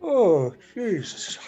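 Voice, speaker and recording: A man's voice: high voice, mellow, full character voice. A man playing a grumpy, dismissive middle-aged British husband in a radio comedy play, sardonic and grumbling.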